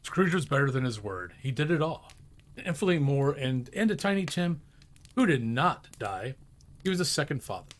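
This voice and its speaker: A man reading a classic story aloud as narrator, in an expressive storybook style. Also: fairly high pitch, moderate pace.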